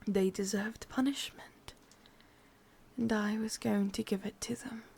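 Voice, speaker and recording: A woman with an English accent playing a sadistic, brooding witch in a breathy, mostly whispered ASMR roleplay. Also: medium pitch, fairly even intonation. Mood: cold, menacing. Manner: slow, hushed, deliberate. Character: sadistic, brooding female witch, bitter and obsessive.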